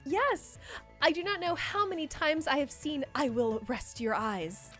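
A woman's voice: high voice, big pitch swings, a little slow, fairly bright tone, soft background music, full character voice. A woman voicing a formal, earnest, enthusiastic foreign princess girl in a visual novel game. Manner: formal, precise, exclamatory speech. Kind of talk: dramatic read of visual novel dialogue.

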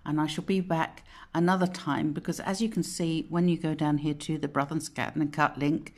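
A woman with a low, somewhat croaky voice walks viewers through a craft software tutorial from home. She chats loosely, trails off and corrects herself as she goes. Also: fairly even intonation, normal speed. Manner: chatty, rambling, with frequent self-corrections. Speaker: craft channel host. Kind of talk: casual screen-recorded software tutorial. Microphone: phone camera pointed at a monitor.

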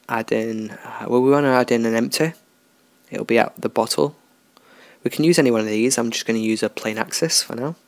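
A man talking through a software tutorial in a relaxed, unscripted way, thinking aloud as he works. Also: mid-range voice, creaky, dry recording. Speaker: tutorial host. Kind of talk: screen-recorded software tutorial.

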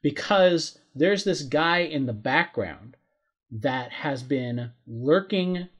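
A man's voice: a little high, expressive, slow pace, breathy edge, muffled recording. A man co-hosting a podcast, giving casual commentary on a TV episode with dry asides. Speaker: podcast co-host. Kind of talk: casual recap chat about a TV episode.

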